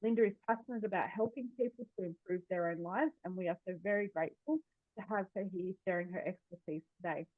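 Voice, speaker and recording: A woman's voice: on the low side, quick pace. A woman hosts a webinar and reads a polished introduction of the guest speaker. The sound is tinny and phone-like. The room is dry with no echo. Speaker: webinar host. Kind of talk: scripted introduction. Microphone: video call.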